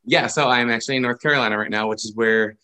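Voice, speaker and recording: A man's voice: mid-range voice, quick pace. Friendly man in his thirties. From New York State, USA. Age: 30s. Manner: friendly, relaxed, rambling with restarts. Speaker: podcast guest. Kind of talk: casual interview chat.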